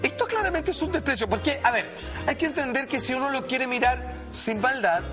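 A man with a Chilean accent giving animated, slangy, emphatic commentary, speaking straight to his listeners. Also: extremely high pitch, brisk, over music. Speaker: talk show commentator.

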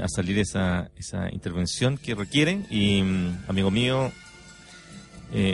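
Low-voiced Chilean male radio host. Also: creaky. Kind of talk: live radio talk show chat. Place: radio studio. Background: background music.

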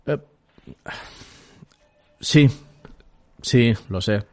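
An actor with an accent from Spain playing a hesitant, exasperated scriptwriter in a naturalistic audio fiction. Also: male voice, medium pitch, extremely slow, darkish tone. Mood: harried. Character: frazzled, apologetic, grumbling male scriptwriter.

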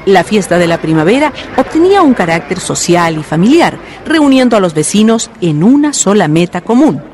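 A woman narrating a historical radio drama in a measured storytelling manner. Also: mid-range voice, very animated. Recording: radio narration over music. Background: background music.

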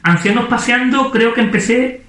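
A man's voice: extremely high pitch, moderate pace, fairly bright tone. A man co-hosting a casual chat, joking and laughing often as he reads listener messages. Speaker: podcast co-host. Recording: very echoey room.

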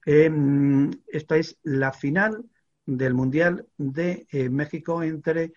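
An older man from Extremadura, a veteran sports reporter, telling an animated story in a studio chat with many fillers and restarts. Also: a little high, slow, dull audio. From Extremadura, Spain.

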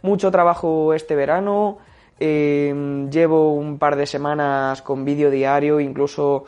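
Young man, football segment, steady delivery with fillers, monotone. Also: on the high side, slow, clear, resonant tone. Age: young adult. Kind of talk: casual radio-style football talk.

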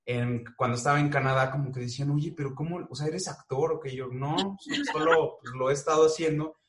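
A man's voice: a little high, fairly even intonation, a little fast, reverberant. A young man with a Mexican accent, a joking, chatty guest in a casual interview, talking about his animation and voice work. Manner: playful, joking, chatty with frequent fillers.

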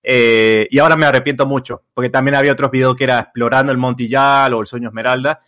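A man's voice: medium pitch, fairly even intonation, fast talker, a bit bright. Rambling young Argentine man. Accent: Argentine Spanish. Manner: rambling, many fillers and restarts. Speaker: content creator guest. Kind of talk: casual interview chat.

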